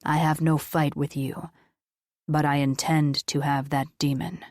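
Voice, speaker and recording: A woman voicing an ancient, stern male angel who speaks slowly, with grave, commanding menace. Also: sits low, flat delivery, a little mellow, no room sound, studio quality, pitched below the performer's own voice. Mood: menacing.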